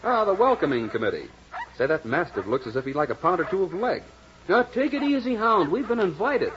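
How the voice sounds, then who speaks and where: Lively, wisecracking bridegroom. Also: male voice, high voice, a little fast. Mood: playful. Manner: playful, wry, theatrical. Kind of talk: 1950s radio acting. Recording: noisy, muffled old radio recording.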